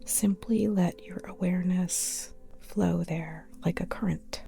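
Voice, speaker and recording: A woman leads a guided yoga nidra meditation very slowly in a soft, breathy near-whisper. Her voice is lowish and mellow, with calm, spaced phrases. Music plays behind her. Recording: modest older mic, music behind.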